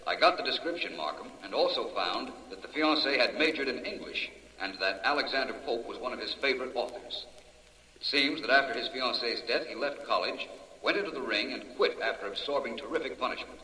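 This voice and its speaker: An actor playing a confident, urbane American detective in a 1940s radio drama, suave, articulate and theatrical. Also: male voice, medium pitch, lively intonation, a little fast, pushed and bright. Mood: explanatory, assured. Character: confident, urbane male detective explaining a murder case. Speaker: lead detective. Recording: echoey, faintly noisy 1940s radio recording.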